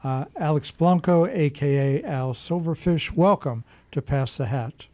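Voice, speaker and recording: Mellow-voiced radio host, easygoing. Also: male voice, fairly high pitch, animated, unhurried. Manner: friendly, easygoing questions. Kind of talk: studio interview. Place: radio studio.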